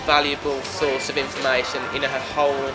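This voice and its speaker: A man's voice: mid-range voice, monotone, moderate pace, poor audio. A man in his twenties talking thoughtfully in a documentary interview. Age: 20s. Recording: loud music behind the voice. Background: loud music.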